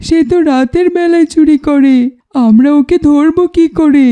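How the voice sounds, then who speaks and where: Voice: high-pitched, steady tone, moderate pace, warm tone, close to an everyday voice. A voice actor playing an earnest young village schoolboy in a cartoon, explaining things plainly. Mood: concerned. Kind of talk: cartoon voice acting.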